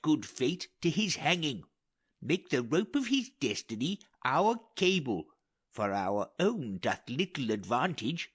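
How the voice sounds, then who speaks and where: A man playing a wry, talkative old courtier in a theatrical audiobook drama, speaking in a deliberate, sardonic way. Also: fairly high pitch, a bit bright, breathy edge, pitched above the performer's own voice, full character voice. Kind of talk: dramatic audiobook reading of Elizabethan verse drama.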